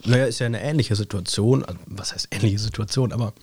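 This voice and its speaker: A man, hoarse with a cold, musing in a relaxed chat and padding his talk with fillers and tag questions. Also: on the low side, quick pace, no room sound. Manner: thoughtful, rambling, many fillers and tag questions. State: hoarse with a cold, scratchy throat. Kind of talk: reflective casual chat.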